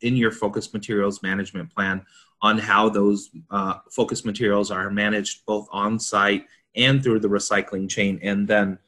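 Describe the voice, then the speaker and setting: Bright-voiced man with low pitch. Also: flat delivery, normal speed. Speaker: consultant panelist. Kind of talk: explanatory discussion.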